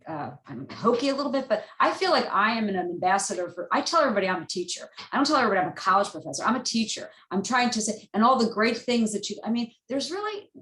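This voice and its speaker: A woman's voice: medium pitch, fairly bright tone. A woman professor speaking off the cuff in a panel discussion, earnest and often breaking off to rephrase herself. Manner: earnest, rambling, self-interrupting. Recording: roomy, echoey video-call sound.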